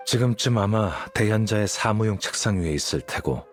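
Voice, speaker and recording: A man's voice: lowish voice, expressive, normal speed, natural voice. A man playing a cool, calculating scholar in a video game, explaining things in a composed, matter-of-fact way. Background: background music.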